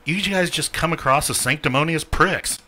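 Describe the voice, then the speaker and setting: A man's voice: a little high, moderate pace. A man on a podcast, ranting with strong opinions and a big mouth. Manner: loud, ranting, mocking voices. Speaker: podcast co-host. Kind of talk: casual opinionated chat.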